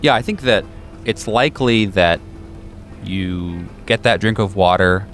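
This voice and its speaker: A man's voice: deep voice, fairly bright tone. A man acting as game master, describing scenes and guiding players in a tabletop roleplaying game.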